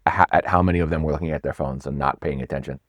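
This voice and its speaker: Deep-voiced man, animated and repetitive. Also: very fast, dry recording. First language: not Swedish; English speaker. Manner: animated, repeats words, lively stresses. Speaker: pitch coach guest. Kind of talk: casual interview talk.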